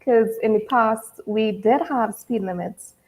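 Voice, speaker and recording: A woman's voice: a little high, measured pace, a little mellow. A woman from Trinidad and Tobago, chatting casually as a guest on a live interview show and laughing easily.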